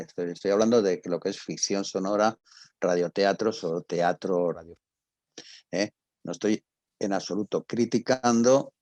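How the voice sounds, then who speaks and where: An older man with an accent from Spain, giving an informal talk and answering questions, halting and emphatic, often repeating himself, with a husky voice. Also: sits low, a little mellow. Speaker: radio drama professor and guest speaker.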